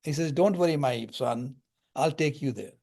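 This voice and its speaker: Older male lecturer with a slightly high voice, calm and parable-driven, teaching spiritual texts. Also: moderate pace. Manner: calm, storytelling explanations with Sanskrit terms.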